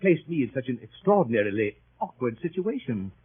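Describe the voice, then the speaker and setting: An actor playing a fussy, earnest gentleman with a formal, hesitant manner, in old radio drama style. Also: medium pitch, big pitch swings, fairly quick, breathy edge. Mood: bewildered. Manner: formal, hesitant, stammering indignation. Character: fussy, earnest, easily flustered English gentleman club leader. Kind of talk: 1930s radio acting. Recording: muffled, scratchy 1930s radio broadcast.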